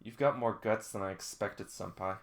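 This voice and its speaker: Someone voicing a composed, blunt teenage girl, low-pitched. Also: normal speed. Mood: impressed.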